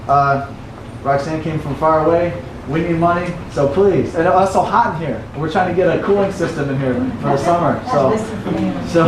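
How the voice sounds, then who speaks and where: Halting man introducing a speaker. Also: on the high side. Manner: halting, many fillers and restarts. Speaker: event organizer introducing a speaker. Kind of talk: casual introduction. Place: bookstore event room. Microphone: room recording from a distance. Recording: echoey, distant, noisy room recording.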